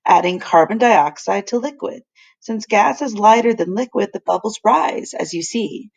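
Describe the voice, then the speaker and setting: A woman's voice: medium pitch, expressive, normal speed, vocal fry, a little mellow, highs cut off. A woman voicing an enthusiastic, kindly older male professor who explains and exclaims in old-fashioned phrases. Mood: delighted, explaining.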